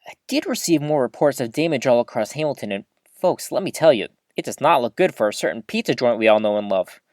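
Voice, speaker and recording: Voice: medium pitch, quick pace, no room sound, clean studio sound, lightly acted. A performer playing an earnest, eager novice host of a small-town community radio show, chatty and folksy, talking straight to the listeners in an audio drama. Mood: chatty, dramatic. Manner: folksy, chatty, expressive, addresses listeners directly. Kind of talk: scripted audio drama as local radio broadcast.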